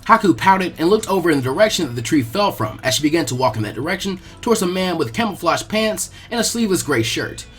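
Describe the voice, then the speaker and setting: A man's voice: a little high, big pitch swings, fast talker, hardly any pauses, a bit bright. A man reading a scripted story aloud as a dramatic narrator. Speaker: narrator of fan fiction readings. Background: music.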